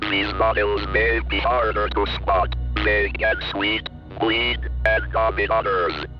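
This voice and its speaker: A man's voice: fairly low pitch, fairly even intonation, takes it slowly. A man playing a stern sergeant delivering a formal, serious scripted survival briefing over the radio. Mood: grave. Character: stern male military sergeant relaying survival warnings. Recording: dull lo-fi radio sound, loud music.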